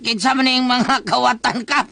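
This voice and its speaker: A man's voice: very high voice, steady tone, normal speed, creaky, sharp tone, a little hiss or hum, full character voice. A man with a Cebuano Filipino accent playing a sly, shameless village councilor who wheedles and brushes things off in a comedic radio drama. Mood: feigned bluster.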